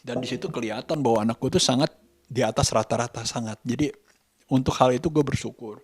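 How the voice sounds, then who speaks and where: A man's voice: mid-range voice, slightly rough, dry recording. A man talking reflectively in a casual vlog, hesitant and often searching for words. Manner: hesitant, halting, searching for words with repeated phrases. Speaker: vlogger and host.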